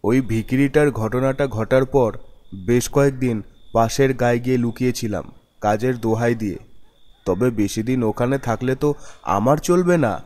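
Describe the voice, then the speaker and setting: A man puts on a sly young thief from a village ghost cartoon. He talks to himself in a mid-pitched voice, smug, callous and scheming. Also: normal speed, a little mellow, close to an everyday voice. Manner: smug, scheming self-talk. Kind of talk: amateur cartoon villain monologue.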